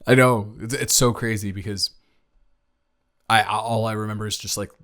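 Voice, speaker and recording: A man's voice: lowish voice, fairly even intonation, moderate pace, a bit bright, lightly acted. A man playing a nervous, smitten young college athlete reunited with a childhood friend, rambling and stammering earnestly in an improvised romantic audio roleplay. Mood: amazed, flustered.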